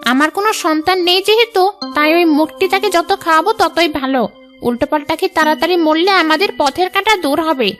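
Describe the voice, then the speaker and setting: A woman's voice: very high-pitched, quick pace. A woman voicing a two-faced, greedy stepmother in a cartoon, sugary and bossy by turns. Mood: scheming. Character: scheming stepmother, two-faced, sweet outside and greedy inside. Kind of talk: Bengali cartoon dub. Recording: music behind the voice.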